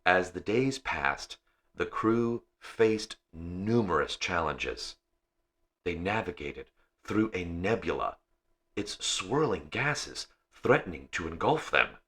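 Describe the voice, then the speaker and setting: A man reads a science fiction story in a very low register. His delivery is slow and measured, and the tone is bright and forceful. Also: sing-song. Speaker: audiobook reader.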